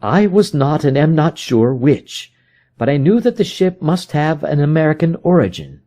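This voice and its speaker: An intense, vengeful detective from a storybook, acted out by a male reader, pitched high and swinging widely as he speaks. He is proud and brooding, and declares things with agitated emphasis. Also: very animated, moderate pace, warm tone. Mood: brisk, explanatory. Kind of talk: dramatic audiobook character reading.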